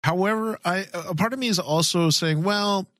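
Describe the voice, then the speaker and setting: A man's voice: sits high, normal speed, a bit bright, dry recording, clean studio sound. A man co-hosting a fan podcast, chatting casually in an earnest, agreeable way. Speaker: podcast co-host.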